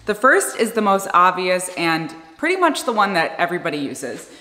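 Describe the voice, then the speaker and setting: A woman's voice: on the low side, sing-song, normal speed, sharp tone. A woman in her thirties giving a casual, informal explainer to camera as a vlogger. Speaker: vlogger explaining a camper van build. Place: indoor garage workshop beside a van. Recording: echoey, reverberant room with music behind.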